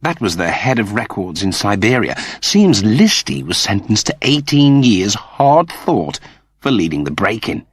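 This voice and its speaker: Sci-fi audiobook casting: self-important male crewman acted by a man, brisk, clipped, lively swings in pitch. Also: husky, no room sound, studio quality, full character voice. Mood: brisk, reporting.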